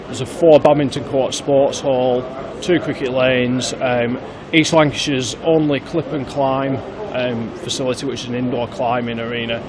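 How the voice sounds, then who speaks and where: A man's voice: mid-range voice, level tone, moderate pace, crowd noise. A man working as a manager, giving informative answers in an interview. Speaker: general manager being interviewed.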